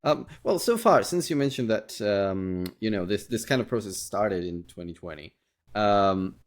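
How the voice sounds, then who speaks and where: A man with a slightly low voice hosts a relaxed, conversational interview. His questions come out halting and rambling. He often doubles up his affirmations as he goes. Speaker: podcast host, interviewer.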